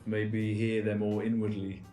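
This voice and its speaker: Guy interviewing, kinda low voice, rambly, echoey room, guitar in back. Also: level tone, a little slow, dark tone. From England. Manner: rambling, many fillers and restarts. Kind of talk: casual interview chat. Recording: echoey room with music behind.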